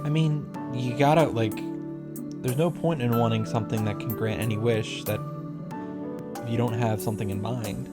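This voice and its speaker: A man's voice: mid-range voice, steady tone, normal speed. A man giving blunt, mocking, sweary reaction commentary on a visual novel. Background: game music.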